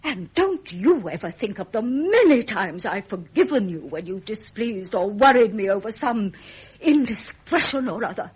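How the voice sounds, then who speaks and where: A woman's voice: medium pitch, very animated, normal speed, slightly rough. An actress playing an imperious, grieving elderly queen mother with an English accent, in a vintage British radio drama. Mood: aggrieved, mournful. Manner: regal, stern, formal, dramatic.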